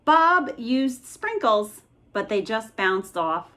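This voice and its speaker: Woman reading a bedtime story, lively pitch. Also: takes it slowly. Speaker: children's storybook reader. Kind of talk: expressive bedtime storybook narration.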